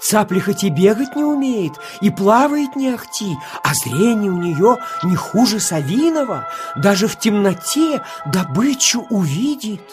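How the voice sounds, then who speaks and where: A woman voicing a wise, protective old reed, hushing and cautioning in a children's audio play. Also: medium pitch, big pitch swings, unhurried, hardly any pauses, over music. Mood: warning. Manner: hushing, shushing, storytelling emphasis.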